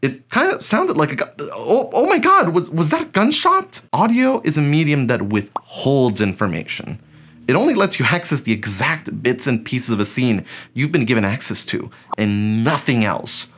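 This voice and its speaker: Animated man teaching a lesson. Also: medium pitch, moderate pace, slight background noise. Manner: conversational, with rhetorical questions and acted-out examples. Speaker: instructor of an online audio drama course. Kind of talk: scripted instructional narration.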